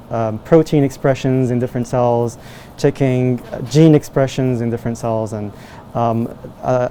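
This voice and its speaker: Young man from Morocco, mellow tone, halting answers with fillers, faint noise behind. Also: mid-range voice. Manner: hesitant, many fillers and restarts. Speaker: medical student researcher. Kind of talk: interview answers, casual.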